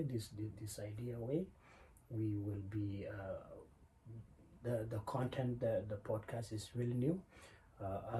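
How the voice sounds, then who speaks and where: A man from South Sudan hosting his podcast alone, talking in a halting way with many fillers and repeated words. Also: on the low side, dark tone, a little hiss or hum, low-quality recording. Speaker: podcast host. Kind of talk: solo podcast monologue.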